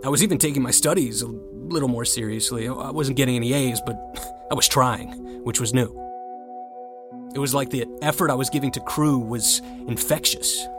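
A man's voice: mid-range voice, moderate pace, over music, close to an everyday voice. A man playing a sarcastic, stubborn young college rower in a naturalistic audio drama. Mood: reflective. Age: young adult. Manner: wry, sardonic.

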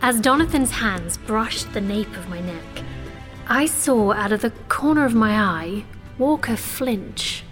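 A woman's voice: medium pitch, expressive, a little slow, a bit bright. A woman playing a young, sheltered sorceress princess who earnestly narrates her own story in an audio drama. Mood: narrating, entranced. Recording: music behind.

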